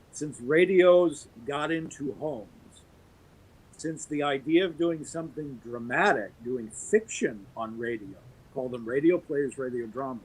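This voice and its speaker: A man with a voice a little higher than most men's talks at length on a podcast. He is opinionated and easygoing, holding forth on a subject he cares about. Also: a little hiss or hum, poor audio. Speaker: podcast guest, audio drama producer. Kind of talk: casual podcast conversation.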